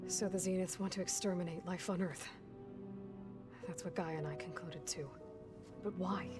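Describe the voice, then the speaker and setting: A woman's voice: fairly low pitch, fairly even intonation, normal speed, natural voice. A woman playing a determined, guarded young heroine in a video game, with a direct, probing manner. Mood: indignant, probing. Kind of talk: video game dramatic acting. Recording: music behind the voice.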